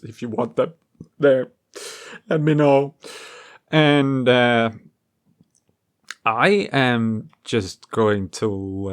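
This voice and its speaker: A man hosting a casual music gear vlog, talking to viewers in a rambling, hesitant way and often trailing off mid-thought. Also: mid-range voice. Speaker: music gear video creator and host.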